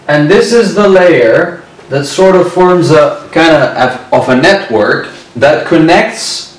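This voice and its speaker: A man in his twenties giving a whiteboard lecture on histology, speaking slowly and repeating key terms. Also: fairly high pitch. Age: 20s. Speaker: medical lecturer. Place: indoor room at a whiteboard. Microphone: far camera. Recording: very echoey room, distant mic.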